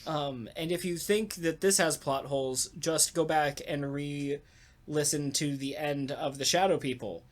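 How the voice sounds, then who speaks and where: Slow-paced, wry podcast co-host. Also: mid-range voice. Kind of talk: casual chat about a radio play.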